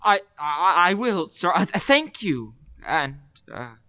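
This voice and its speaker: A man's voice: high voice, sing-song, slow pace, bright, forceful tone, full character voice. A man playing a meek clerk in an old-time radio play, hesitant, stammering and deferential. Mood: timid, deferential. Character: timid, meek male clerk, deferential to his boss.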